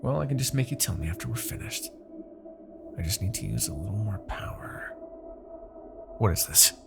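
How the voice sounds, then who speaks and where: A man playing an arrogant, possessive demon king in a husky, theatrical ASMR fantasy roleplay. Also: on the low side, big pitch swings, music in the background. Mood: strained, determined. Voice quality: husky, gravelly. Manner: theatrical, deliberate, swinging from murmurs to outbursts. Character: arrogant, possessive male demon king, obsessed with captive hero. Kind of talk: ASMR roleplay, dramatic monologue.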